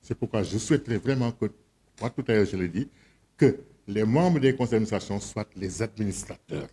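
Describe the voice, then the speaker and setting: A man's voice: on the low side, lively intonation, slightly rough. A man chairing a board meeting, giving a formal address and calling votes. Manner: measured, then loud and directive when calling the vote. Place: meeting hall.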